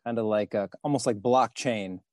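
A man speaking as a podcast guest about his career and fundraising, pausing often to restart his sentences. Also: on the low side, no echo. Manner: halting, many fillers and restarts. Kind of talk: podcast interview.

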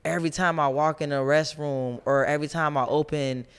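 Woman co-host with a deep, smooth voice; relaxed, slangy, thoughtful delivery. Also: fairly quick. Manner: laid-back slangy talk, thoughtful asides. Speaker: podcast co-host. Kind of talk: casual chat.

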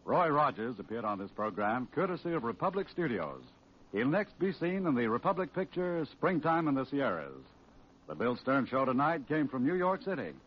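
A man's voice: mid-range voice, very animated, moderate pace. An American man announcing formally in the style of 1940s radio. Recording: dull old radio, faint noise.

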